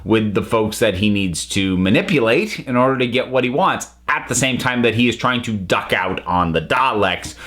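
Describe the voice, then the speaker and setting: A man's voice: mid-range voice, expressive, moderate pace, hardly any pauses, fairly bright tone. A man with a mid-range voice talks as a reviewer hosting his own channel. His delivery is casual and conversational, and he thinks aloud, often breaking off to rephrase a point. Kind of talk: casual spoken audio drama review.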